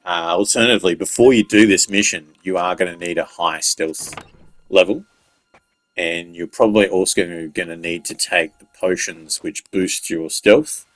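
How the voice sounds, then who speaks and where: A man giving casual, unscripted video game walkthrough commentary in a halting, pause-filled way. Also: low voice, fairly bright tone, rough recording. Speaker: gaming video creator giving walkthrough tips. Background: faint game music.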